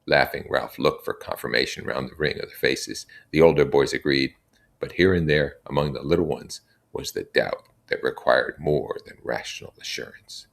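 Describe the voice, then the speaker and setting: A man's voice: very deep voice, normal speed, gravelly. A man reading a book aloud expressively, adding casual comments as he goes. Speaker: reader.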